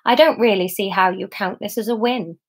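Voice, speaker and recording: A woman acts out a sulky devil from a comic storybook. It is petulant and needling, a snappish complainer with a mid-pitched voice. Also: a little fast, husky.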